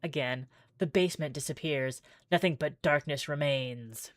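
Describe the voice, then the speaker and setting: A woman giving a dramatic, deliberate read-aloud of narrative game text, pausing for effect. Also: low-pitched, dry recording, clean studio sound. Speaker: streamer playing a visual novel demo. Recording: music playing under the voice.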